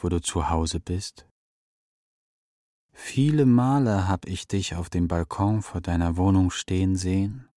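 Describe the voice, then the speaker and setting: A slow, deep, solemn eagle. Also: male voice, dark tone, no echo, studio quality. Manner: solemn, deliberate, grave. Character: wise, proud, captured male eagle who talks. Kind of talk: German children's radio drama acting.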